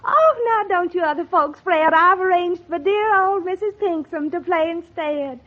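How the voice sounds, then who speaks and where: An actress playing a syrupy, flirtatious Southern belle with a Southern US accent, gushing and cooing in 1940s radio style. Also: female voice, very high voice, normal speed. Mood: sweet wheedling. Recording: tinny, band-limited 1940s radio recording.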